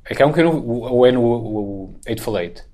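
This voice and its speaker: A man's voice: mid-range voice, fairly quick, darkish tone. A man in his thirties or forties with a European Portuguese accent, chatting casually and colloquially about films, with swearing and tag questions.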